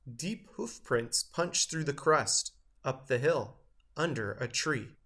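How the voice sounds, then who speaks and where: A man reading a children's picture book aloud, with expressive delivery and measured, careful phrasing. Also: medium pitch. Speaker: storybook reader.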